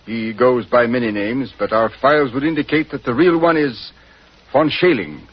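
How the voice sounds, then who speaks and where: An actor playing a grave English knight in government service in a 1940s radio drama, formal and measured. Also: male voice, medium pitch, slightly rough, lightly acted. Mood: grave, assured. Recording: muffled 1940s radio recording.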